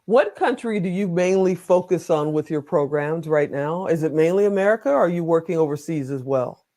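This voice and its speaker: A woman hosts a casual interview, chatty and quick with a joke. Her questions wander on at length before she gets to the point. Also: fairly low pitch, lively intonation, dark tone. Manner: chatty, jokey, asks long rambling questions. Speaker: talk show host.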